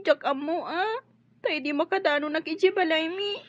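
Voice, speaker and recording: A woman playing a frightened, abused young servant girl in a radio drama, pleading haltingly and crying. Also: very high voice, no echo. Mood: tearful, pleading. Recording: dull, dry studio sound.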